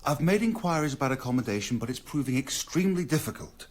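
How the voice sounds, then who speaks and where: An actor playing a loyal, discreet barrister's clerk in a radio drama, deferential but drily tart. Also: male voice, medium pitch, lively intonation, fast, close to an everyday voice.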